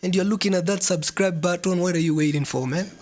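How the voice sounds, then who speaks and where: A podcast host with a notably high voice for a man. He keeps things playful, drops in slang and pushes listeners to subscribe before moving to the next topic card. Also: fast talker, a little mellow. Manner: playful, hypes the audience, uses slang. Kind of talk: casual chat with segment links.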